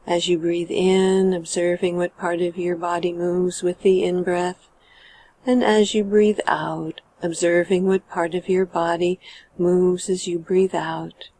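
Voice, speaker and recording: A woman guiding a meditation in a calm, measured and gentle manner, letting her sentence endings trail off. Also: fairly low pitch, slight background noise. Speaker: meditation guide. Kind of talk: guided meditation narration.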